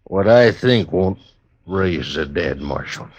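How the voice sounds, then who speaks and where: A man's voice: low-pitched, expressive, slow, no echo, full character voice. A man acting a guarded, troubled American frontier station keeper in an old-time radio western, speaking in a laconic rustic drawl. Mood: terse, wary. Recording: dull, dry old radio recording.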